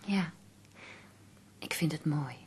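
Low, soft-voiced devoted companion. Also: female voice, takes it slowly, slight background noise. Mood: gentle. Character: devoted, earnest female companion of an ailing poet. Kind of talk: Dutch radio play acting.

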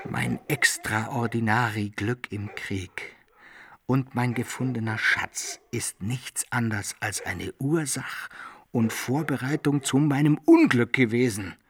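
An actor playing a rueful, boastful adventurer in a radio drama monologue, declamatory and husky, in archaic wording. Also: male voice, medium pitch, natural voice. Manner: declamatory, theatrical. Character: rueful boastful adventurer telling his own misfortunes.

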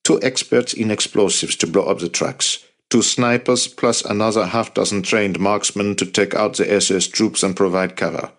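A man narrating an audiobook plays a determined resistance fighter with a low voice. He is earnest and blunt, pressing his case with passion and persuasion. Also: steady tone, normal speed, hardly any pauses, a bit bright. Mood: resolute.

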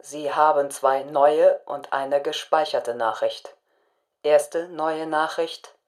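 A woman's voice: low-pitched, steady tone, takes it slowly. A woman voicing an impassive automated voicemail announcement, mechanical and word by word. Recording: very noisy voicemail playback with clicks.

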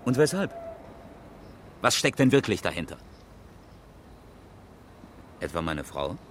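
An actor playing a proud, insistent engineer and amateur rally driver in a radio crime drama, brisk and probing. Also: male voice, low voice, very animated, slight background noise, heavily acted. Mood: challenging, indignant. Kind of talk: 1970s German radio crime drama acting.

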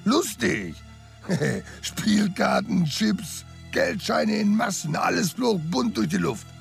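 An actor in a German radio crime drama playing a sardonic, street-tough sidekick who talks in a colloquial, mocking way. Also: male voice, high voice, very animated, slow pace, creaky, soft background music, exaggerated voice. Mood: mocking, amused.

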